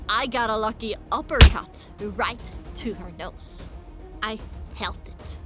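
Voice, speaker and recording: A woman with a fairly high voice narrates an audiobook. Her reading is scripted, first-person and dramatic, and music plays softly behind her. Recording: music under the voice.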